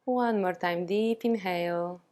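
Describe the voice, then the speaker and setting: Slow-paced woman guiding a meditation. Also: on the low side, smooth. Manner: calm, soothing, with long pauses between short phrases. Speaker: yoga and meditation teacher. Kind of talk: guided meditation.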